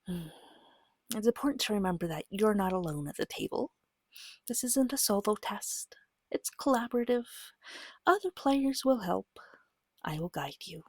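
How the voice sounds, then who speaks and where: A woman giving a soft-spoken ASMR guide as a game master, gentle, patient and reassuring, with a breathy voice that often slips into a whisper, close to the mic. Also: medium pitch, expressive, moderate pace, long pauses, no room sound. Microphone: close microphone.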